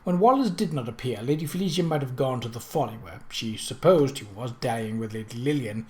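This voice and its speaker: A storyteller reads a period mystery novel in a formal, expressive way. The voice sits at a middle pitch, between low and high. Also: a little fast. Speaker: audiobook reader.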